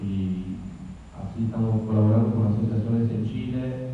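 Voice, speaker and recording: A man's voice: lowish voice, moderate pace. An Italian guest speaker in a museum hall reads his lecture from a text, his words thick with fillers and restarts. The sound is distant and thin, like a phone line, and a long echo trails each phrase over a faint hiss. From Italy. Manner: hesitant, many fillers and restarts. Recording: echoey hall from far off, tinny and noisy.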